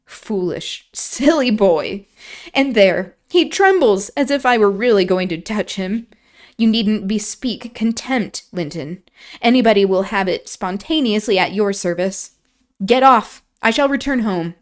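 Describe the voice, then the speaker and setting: A woman's voice: mid-range voice, expressive, a little slow, close to an everyday voice. A woman reading aloud, voicing a passionate, scornful young woman who scolds. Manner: scolding, impassioned.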